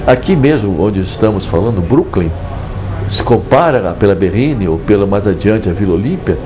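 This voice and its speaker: An older Brazilian man, an author speaking reflectively in a measured, digressive way with hesitant restarts. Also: lowish voice, expressive, noisy background. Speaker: book author. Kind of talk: reflective interview monologue.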